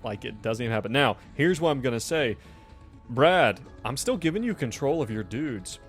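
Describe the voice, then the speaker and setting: A man with a middle-pitched voice acts as game master at a tabletop game. He improvises the scene conversationally and lays out rules in detail, with faint music playing behind him. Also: big pitch swings, normal speed.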